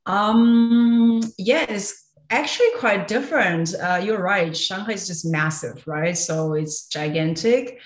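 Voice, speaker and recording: A woman from China, in her thirties, answering podcast questions hesitantly with many pauses and light laughs. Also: mid-range voice, roomy sound. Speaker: guest entrepreneur.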